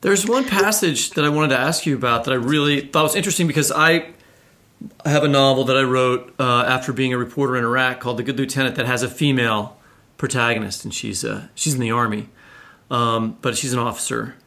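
A man co-hosts a book podcast with a voice of middle pitch. He asks long, winding questions in a conversational way. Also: brisk, sharp tone. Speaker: podcast co-host and novelist. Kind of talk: podcast interview.

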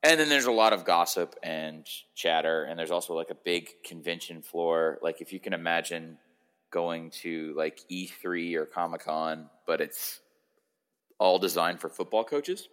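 Deep-voiced, slightly hoarse man chatting casually. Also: a little slow, fairly bright tone. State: hoarse, hungover. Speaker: sports podcast host. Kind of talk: casual football podcast chat.

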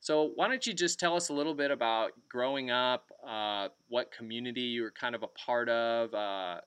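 A man's voice: mid-range voice, moderate pace, fairly bright tone, clean tone, no echo. A man hosting a podcast interview, enthusiastic and leaning on "uh" fillers. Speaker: podcast host.

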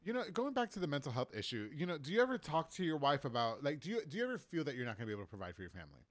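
A man in his thirties talks quickly on a close, dry mic as a podcast host. He is brash and jokey, swears freely, and keeps the chat casual. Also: a little high, sing-song, no echo. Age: 30s. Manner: brash, profane, joking. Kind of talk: casual chat, interviewing a guest. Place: small room, indoors. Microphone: close studio podcast mic.

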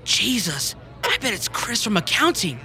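A woman playing a chatty, excitable office coworker in an audio drama, gossipy and friendly. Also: medium pitch, moderate pace. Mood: concerned, chatty.

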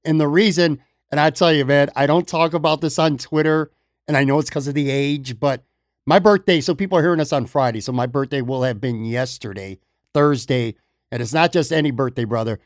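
A man's voice: fairly high pitch, fairly quick, no echo, clean studio sound. A man turning fifty, hosting a podcast in a chatty, rambling, friendly way, calling his guest "man" and "brother". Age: 50s. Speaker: podcast host. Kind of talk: casual chat.

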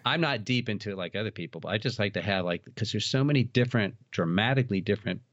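A man's voice: on the low side, animated, fast talker, fairly bright tone. A man talking casually as an interview guest, telling rambling, enthusiastic anecdotes. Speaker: guest, collector and writer. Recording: dull, roomy sound.

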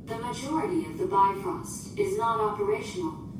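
A woman playing a literal, formal and emotionless ship computer in a science fiction audio drama, speaking in measured, precise official phrases. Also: a little high, lively intonation, close to an everyday voice. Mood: flat, compliant. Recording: echoing, distant, noisy ship ambience.

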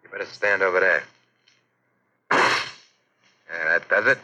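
A hard-edged, very forceful gangster in an old radio thriller. He talks in blunt tough-guy slang, threatening and desperate by nature, with a sharp, bright tone on a vintage 1940s recording. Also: low-pitched, steady tone, slow pace, exaggerated voice, low-quality recording. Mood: gruff, suspicious. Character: tough, threatening male gangster who turns desperate and pleading.

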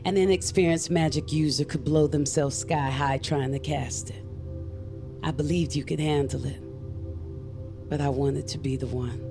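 An actress playing a guarded, earnest middle-aged witch on the run from her crime family, confiding in an intimate audio drama with a gravelly voice. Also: low voice, steady tone, normal speed. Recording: music under the voice. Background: music.

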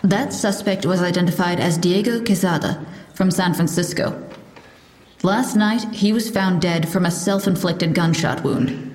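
An actress playing a composed police lieutenant, giving a formal, measured statement at a press briefing in an audio drama. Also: female voice, lowish voice, darkish tone, lightly acted. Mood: official. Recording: roomy echo.

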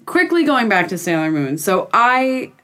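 Woman, late 20s, talkative guest who tags her sentences with "right?", middle pitch. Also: measured pace. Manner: chatty, lively storyteller, frequent "right?" tags. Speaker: podcast guest. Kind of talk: casual chat.

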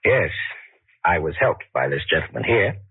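A grave doctor in a 1940s radio drama. The voice is fairly low and moves expressively while staying measured and authoritative. It sounds like a narrow old broadcast. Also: male voice, very animated, slightly rough, no echo, exaggerated voice. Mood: stern, composed.

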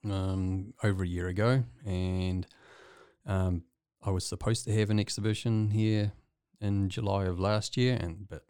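A man's voice: low-pitched, fairly even intonation, unhurried, darkish tone, clean tone, dry recording, studio quality. A man with a New Zealand accent chatting casually as an interview guest. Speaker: guest, visiting artist.

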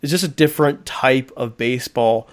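A man's voice: mid-range voice, normal speed. Podcast host, man, mid-range voice, easy conversational delivery, long rambling questions. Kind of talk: casual podcast chat.

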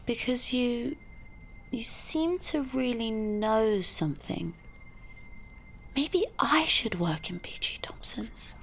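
A woman's voice: fairly high pitch, expressive, slow pace, creaky, a bit bright, a little hiss or hum, heavily acted. A woman acting a needy, unstable former estate agent in an audio drama, hesitant and anxiously repeating herself. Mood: probing, unsure. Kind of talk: naturalistic audio fiction acting.

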